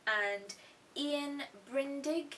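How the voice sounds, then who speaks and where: A woman's voice: sits high, drawn-out words, sharp tone. Chatty young woman, faint hiss. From Thailand. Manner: chatty, repeats herself, little asides. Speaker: YouTube art channel host. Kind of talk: casual Q&A vlog. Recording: faint hiss, mic a little distant.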